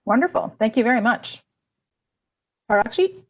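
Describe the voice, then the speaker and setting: A woman's voice: on the high side, animated, normal speed, dull audio. Woman moderating an online panel. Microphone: video call.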